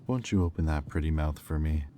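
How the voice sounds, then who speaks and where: Man playing a very deep, smug demon. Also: warm tone, slight background noise. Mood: teasing, smug. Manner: slow, teasing, silky taunting with deliberate pauses. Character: smug, predatory male demon toying with a captive angel. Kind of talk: roleplay audio drama acting.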